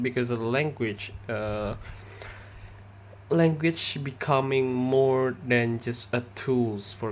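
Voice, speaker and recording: A young Indonesian man gives a class presentation in English, an Indonesian accent over an ordinary mid-range voice. He speaks very slowly and haltingly, filling gaps with pauses and restarting his sentences. A faint room ambience sits behind him. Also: medium pitch, slight background noise. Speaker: student presenter.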